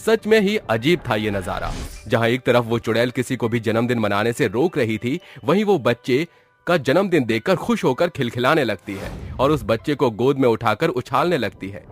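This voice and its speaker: Male storyteller, medium pitch with lively swings, dramatic scripted horror narration, music softly behind. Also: moderate pace.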